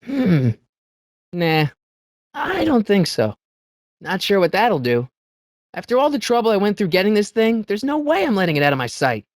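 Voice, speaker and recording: A wisecracking teen boy for a video game, youthful and kinda high, really animated, stammers a bit, dry close recording. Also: moderate pace, pauses often, dry recording. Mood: wry, doubtful. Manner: hesitant, stammering asides, wry slangy delivery. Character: earnest, wisecracking teenage boy adventurer, easily exasperated. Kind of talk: video game character lines.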